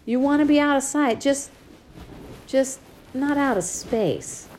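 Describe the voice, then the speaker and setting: A woman's voice: on the low side, animated, normal speed, a little mellow, a little hiss or hum, natural voice. A woman reading a loving but stern middle-aged father in an audiobook, scolding then tender, with folksy slang. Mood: coaxing. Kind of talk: audiobook character voice.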